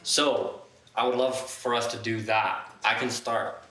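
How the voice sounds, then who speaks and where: A young man from Ottawa hosting a casual podcast, playful and quick to laugh. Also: mid-range voice, steady tone, bright, forceful tone, reverberant. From Ottawa, Canada. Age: young adult. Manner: playful, laughs often, slangy. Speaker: podcast host.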